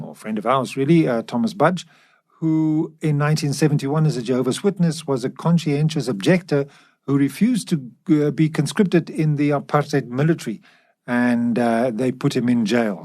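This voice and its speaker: A man presenting a podcast in a measured, explanatory way, pausing mid-sentence. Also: fairly high pitch, darkish tone. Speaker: podcast host.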